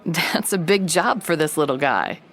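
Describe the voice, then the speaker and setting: A woman's voice: deep voice, lively intonation, moderate pace, fairly bright tone, faint music behind, heavily acted. A woman playing a curious roving reporter, narrating events as a scripted, vivid audio drama report. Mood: engaged, wry.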